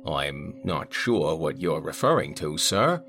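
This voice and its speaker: A man's voice: rumbling low, big pitch swings, slow, fairly bright tone, soft background music, heavily acted. A man voicing a harried, middle-aged male manager who keeps up a strained, polite customer-service tone.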